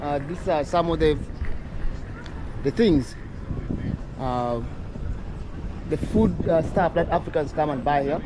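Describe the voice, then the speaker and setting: A man's voice: a little high, slow, pauses often. A man from northern Uganda, vlogging casually as he walks through a street market, chatting with many fillers and restarts. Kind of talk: casual walking street vlog. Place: outdoor city street market. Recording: street noise behind outdoor voice.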